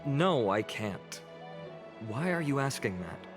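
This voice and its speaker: An earnest, commanding warrior leader from a video game. His medium-pitched voice carries resolve and responsibility, with long reverb and music underneath. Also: very animated, slow pace. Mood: thoughtful.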